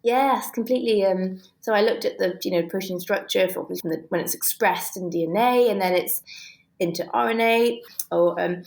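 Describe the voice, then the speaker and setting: A woman's voice: mid-range voice, moderate pace, creaky, darkish tone. A woman with a British accent explaining technical detail conversationally and with enthusiasm, as an interview guest. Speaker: guest, scientist and author.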